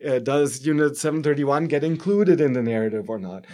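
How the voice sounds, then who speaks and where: A middle-aged man from Germany with a German accent, speaking as an academic guest in an interview, haltingly, often checking with a questioning tag. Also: medium pitch, warm tone. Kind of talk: interview, explanatory academic discussion.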